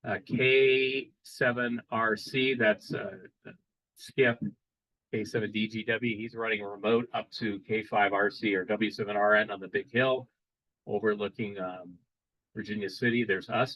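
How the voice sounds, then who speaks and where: A man presenting a live demo at a radio club meeting, explaining casually and pausing often to restart his sentences. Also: on the low side, fairly even intonation, sharp tone, no echo, rough recording. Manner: casual, halting, many fillers and restarts.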